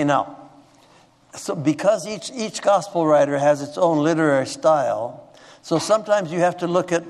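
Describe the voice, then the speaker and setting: A man preaching a sermon in a conversational way, often restarting and repeating words and asking the audience questions. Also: a little high. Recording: long reverb of a large hall.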